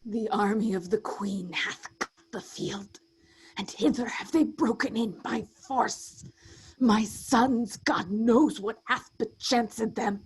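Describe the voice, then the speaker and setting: A woman playing a weary, proud, embattled duke in a staged reading of Shakespearean verse, grave and breathy. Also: a little high, fairly even intonation, takes it slowly, a little hiss or hum, poor audio. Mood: anxious. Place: online video call.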